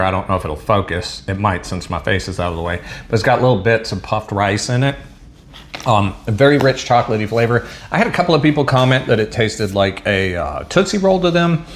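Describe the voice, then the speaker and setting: Laid-back Southern man, lowish voice. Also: a little hiss or hum. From Southern United States. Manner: rambling, chatty, self-deprecating, frequent um and uh. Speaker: MRE taste-test vlogger. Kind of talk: casual food review to camera. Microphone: mic set up at a table.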